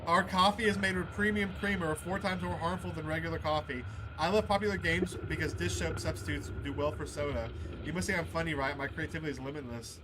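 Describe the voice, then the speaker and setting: Male let's play host, slightly high voice, halting casual read-alouds and muttered reactions, clear noise behind him. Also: steady tone. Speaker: gaming video host. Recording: clear background noise under the voice.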